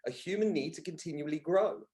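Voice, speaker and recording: A man's voice: a little high, a little fast, dark tone. A man in his thirties talking as a podcast guest in a conversational interview, earnest and emphatic, often repeating words for emphasis. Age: 30s. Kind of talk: conversational personal-development interview.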